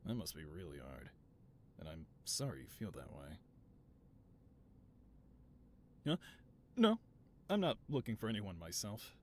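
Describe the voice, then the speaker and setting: Man acting as evil scientist boss, slightly low pitch, highly animated intonation, theatrical, doting, reassuring, audio drama. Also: moderate pace, slight background noise. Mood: gentle, consoling. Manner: doting, theatrical, softly reassuring then grandiose. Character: affable, theatrical supervillain mad scientist boss, devoted to assistant. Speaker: voice actor. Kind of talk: scripted audio roleplay, one-sided conversation.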